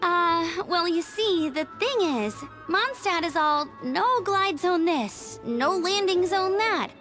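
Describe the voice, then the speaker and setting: A woman's voice: very high voice, expressive, slow, fairly bright tone, heavily acted. A woman voicing a bubbly, bold young gliding champion in a video game, upbeat, chatty and eager. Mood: sheepish. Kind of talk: animated video game voice acting. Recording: game music behind the voice.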